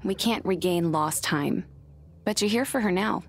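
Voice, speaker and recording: A woman's voice: medium pitch, normal speed, noisy background, natural voice. Caring female village physician played by a woman, mid-range, composed, charming game character. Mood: gracious, caring. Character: flattering, caring woman town doctor. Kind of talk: video game voice acting.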